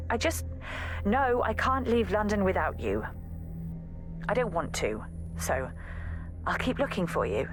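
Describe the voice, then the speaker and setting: A woman's voice: medium pitch, lightly acted. An actress playing an exasperated, protective young woman assassin in a video game audio log, scolding and pleading emphatically.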